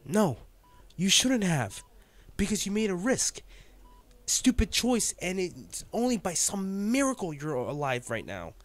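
A man's voice: high-pitched, huge pitch swings, measured pace, no echo, exaggerated voice. A man playing an intense, protective male field agent in a dramatic audio roleplay, speaking emotionally and emphatically to his partner. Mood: angry, scolding. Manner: emotional, emphatic, addressing the listener directly.

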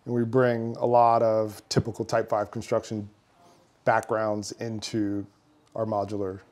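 A man's voice: lowish voice, little pitch movement, unhurried, a little mellow, slight background noise. A man in his thirties, a builder and firm founder, explaining his work earnestly in an office meeting room, with some fillers. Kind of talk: interview answers.

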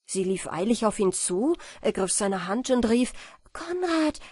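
Audiobook storyteller: woman, mid-pitched, breathy, dry studio-like sound, expressive fairy-tale reading. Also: moderate pace, dry recording. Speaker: audiobook narrator.

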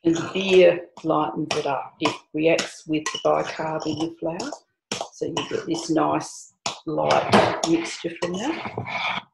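A woman's voice: low voice, fairly even intonation, slow pace, creaky. Older woman chatting through a kitchen demo. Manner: chatty, homely explanations while working. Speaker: cooking show host. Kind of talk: home cooking demonstration. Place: home kitchen indoors. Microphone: camera on the counter. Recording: echoey kitchen with clicks and noise.

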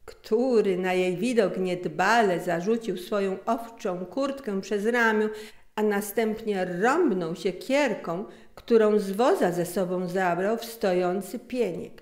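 A middle-aged woman narrating a scripted story in an unhurried, expressive manner. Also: mid-range voice. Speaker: author and narrator. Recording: roomy, echoing sound.

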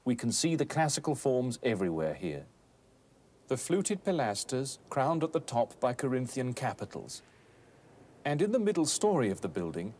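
A man in his thirties presenting a scripted educational documentary in a measured, articulate, expository manner. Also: medium pitch, a little mellow. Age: 30s. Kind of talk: scripted educational narration on architecture. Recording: noisy old documentary film soundtrack.

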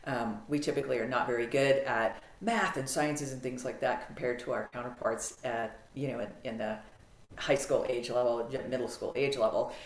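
Woman, middle-aged, from the US, animated storytelling, home office call, echoey audio. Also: rumbling low, normal speed. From United States. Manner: animated, clear, frequent ums. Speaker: podcast guest. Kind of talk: casual interview storytelling. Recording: roomy video-call audio.

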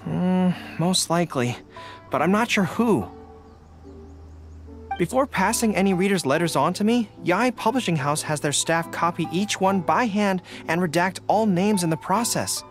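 A man's voice: high-pitched, animated, soft background music. A young man voicing an earnest, formal young dog-eared general in a video game. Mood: thoughtful. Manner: earnest, deliberate, formal phrasing. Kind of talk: video game character dialogue.

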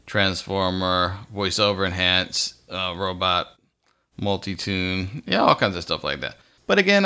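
Bright, low-pitched man hosting a casual tutorial. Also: smooth. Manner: informal, folksy step-by-step explaining. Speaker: tutorial host. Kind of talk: casual screen-recorded software tutorial.

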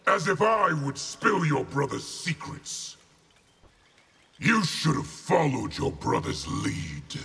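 An actor playing a belligerent villain warlord in a video game, with a harsh gravelly voice and scornful delivery. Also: male voice, a little high, very animated, slow pace, full character voice. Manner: scornful, forceful. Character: contemptuous, belligerent male villain warlord general. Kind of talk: video game character acting.

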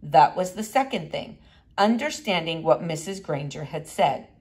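Expressive woman reading a storybook, lively pitch. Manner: expressive, deliberate emphasis. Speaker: reader. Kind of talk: read-aloud children's storybook narration.

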